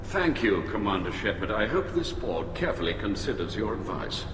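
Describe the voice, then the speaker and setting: A stern and formal alien admiral argues in a video game drama. His pitch moves widely as he speaks, skeptical and stiff. Loud music plays behind him. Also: very animated, normal speed.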